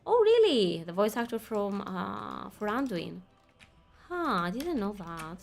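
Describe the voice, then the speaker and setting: A woman's voice: medium pitch, very animated, unhurried, vocal fry, faint music behind. A young woman streaming a game, chatting casually with her viewers, laughing easily and reading quest text aloud. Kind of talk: casual gaming commentary.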